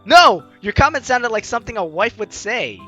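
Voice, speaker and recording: Young man, lively swooping pitch, bright tone, playful let's play commentary, music behind. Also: moderate pace. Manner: animated, playful. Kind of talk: casual game commentary, reading dialogue aloud. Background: game music.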